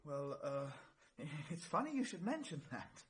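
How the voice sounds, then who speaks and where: An actor playing an anxious young murder suspect, flustered and defensive, in a vintage radio drama. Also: male voice, on the high side, big pitch swings, unhurried, a bit bright. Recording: noisy vintage radio recording.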